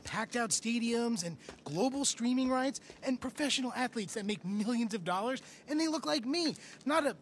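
A man's voice: very high-pitched, lively intonation, normal speed, hardly any pauses. An entitled young man stammers and whines in a game cutscene. Faint noise sits behind him. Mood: whiny. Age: young adult. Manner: stammering, whiny.

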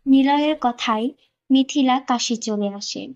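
A woman's voice: sits high, unhurried, a little mellow, dull audio. A woman narrator reading a scripted romantic story.

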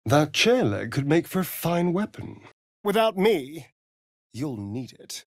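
A man's voice: on the high side, very animated, slow pace, no room sound, clean studio sound, full character voice. A male actor with an English accent voicing a sardonic, seductive, vain vampire rogue in a video game, with an arch, flamboyant drawl. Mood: arch, wry. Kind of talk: theatrical video game dialogue.

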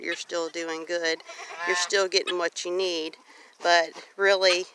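A middle-aged woman talking casually in a plain-spoken, folksy way, repeating phrases for emphasis as she walks and talks. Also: on the low side. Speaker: homestead vlogger. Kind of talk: casual walk-and-talk monologue. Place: outdoors on a grassy homestead field. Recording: noisy outdoor recording with geese honking.

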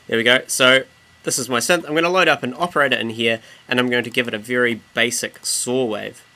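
Male music software tutor, bright forward voice, informal, explanatory, rephrases as he goes. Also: medium pitch, fairly even intonation, a little fast. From New Zealand. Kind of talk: casual screen-recorded software tutorial.

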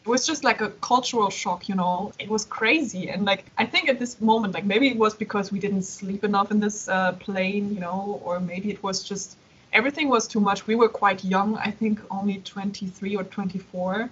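Chatty young woman, husky lo-fi voice. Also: medium pitch, normal speed. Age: young adult. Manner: animated, many "like" and "you know" fillers. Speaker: podcast guest. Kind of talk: casual interview chat. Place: Berlin. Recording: dull lo-fi sound.

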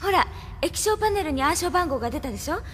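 An actress playing an earnest, capable young resistance operative in an anime audio drama, speaking persuasively. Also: female voice, very high-pitched, animated, fast talker, fairly bright tone, big-room sound, slight background noise. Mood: assured.

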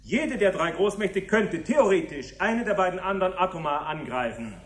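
A brisk official with a high-pitched voice, in a 1970s radio play. He explains in formal, orderly sentences, as in a briefing. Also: animated. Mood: formal, explanatory. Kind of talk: 1970s German radio drama acting.

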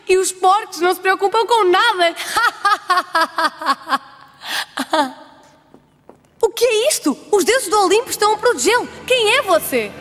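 A woman's voice: very high voice, a bit bright. A woman voicing a proud, seductive sorceress in a European Portuguese cartoon dub, with formal, theatrical delivery. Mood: silky, sly, then startled. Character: proud seductive sorceress, vengeful, then pleading. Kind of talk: dubbed cartoon acting. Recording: long hall reverb.

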